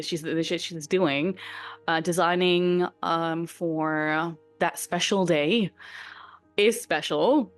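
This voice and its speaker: Young German woman host, German native, hesitant, lower voice, dry close sound, faint music bed. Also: no room sound. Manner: hesitant, many "um"s, slightly uneven English. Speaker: podcast host. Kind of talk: casual interview questions.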